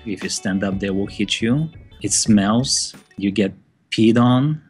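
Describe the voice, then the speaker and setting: A man, a bat biologist, giving thoughtful, measured interview answers with occasional fillers. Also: fairly low pitch, soft background music.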